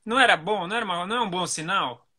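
A man in his thirties, hosting, asking a slow question over an earphone mic on a video call. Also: high voice, bright, forceful tone. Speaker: host. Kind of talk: interview question.

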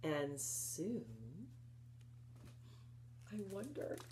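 A woman in her fifties presenting story time for young children, reading and explaining in an expressive, encouraging manner. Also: low-pitched, very slow, a little hiss or hum. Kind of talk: children's read-aloud and instruction. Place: small furnished room at home, indoors.

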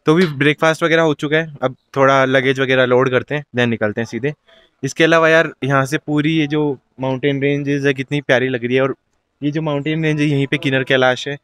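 A young man in his twenties chatting casually as a travel vlogger, filming himself at arm's length outdoors. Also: mid-range voice. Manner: chatty, relaxed, says "yaar" often. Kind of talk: casual travel vlog to camera. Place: outdoors on mountain roads and hotel grounds. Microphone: phone or camera held at arm's length.

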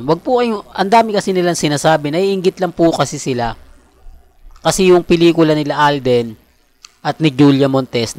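A man's voice: fairly high pitch, no echo. A man with a Filipino accent hosting a fan channel, chatting casually through celebrity updates and often checking that listeners agree. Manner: conversational, repeatedly seeking agreement with tag questions.

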